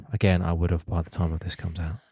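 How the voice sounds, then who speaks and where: A man co-hosting a podcast, talking in a droll, deadpan way. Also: deep voice, fairly quick, breathy edge, no echo, clean studio sound. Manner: droll, deadpan, fussy about housekeeping. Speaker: podcast co-host. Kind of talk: casual chat. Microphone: new podcast microphone, spoken into from the side.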